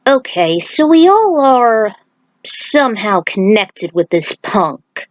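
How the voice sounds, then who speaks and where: A woman's voice: medium pitch, very animated, slow, no room sound. A woman voicing a cocky, flirtatious male celebrity fighter in slangy story dialogue. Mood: smug.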